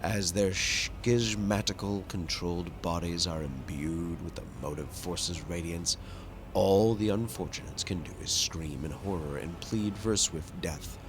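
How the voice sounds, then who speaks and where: A man's voice: low voice, unhurried, background noise. A man hosting a video game playthrough, reading story narration dramatically and commenting casually on play.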